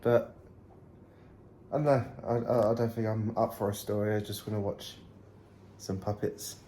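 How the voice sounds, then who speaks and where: A man's voice: lowish voice, flat delivery, normal speed, warm tone, slight background noise. A man, chatting and narrating casually as a travel vlogger. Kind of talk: casual vlog narration and chat. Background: music.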